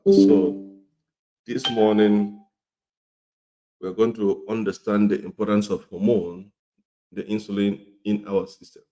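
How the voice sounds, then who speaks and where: Man, middle-aged, slightly hoarse from a cold, lowish pitch, mellow tone, informal health lesson, repetitive. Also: low-quality recording. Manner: repetitive, often checks in with "okay?". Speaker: health educator.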